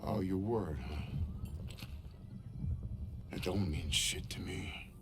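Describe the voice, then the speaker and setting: A man's voice: low voice, slow, noisy background. A man playing a hard, distrustful, intimidating army sergeant in a war game, with a menacing manner. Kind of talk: war game cutscene acting.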